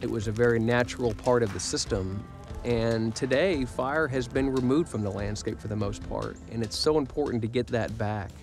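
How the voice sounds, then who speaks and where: A man's voice: mid-range voice, moderate pace, music in the background. Enthusiastic man explaining a forest outdoors. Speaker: wildlife biologist.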